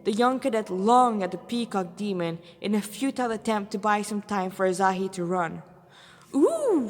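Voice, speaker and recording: A woman's voice: mid-range voice, long reverb tail. A woman with a non-native English accent, an amateur narrator reading a story dramatically and sometimes stumbling over words. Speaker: amateur fan fiction narrator.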